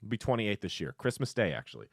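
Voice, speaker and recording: Twenties male commentary host, sarcastic and mocking, animated delivery, dry close-miked sound. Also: fairly low pitch, fast, no echo. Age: 20s. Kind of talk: opinionated political commentary.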